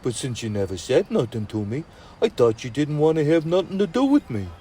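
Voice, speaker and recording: A gruff young hot dog vendor in a storybook tale, all tough street slang, with a dark, mellow voice that rises and falls a lot, as a man puts him on. He talks plainly and warmly under the rough edge. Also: a little high, big pitch swings, a little fast, slight background noise. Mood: earnest.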